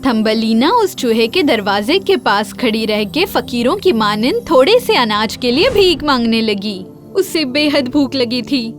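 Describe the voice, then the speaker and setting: A woman's voice: a little high, animated. A woman storyteller reading scripted fairy-tale narration for children. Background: faint music.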